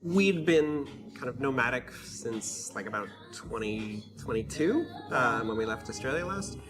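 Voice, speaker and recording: A man's voice: medium pitch, lively intonation, a little slow, music in the background. A man from California with an American accent, chatting casually as an interview guest in a hesitant, understated way. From California, United States. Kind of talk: casual chat.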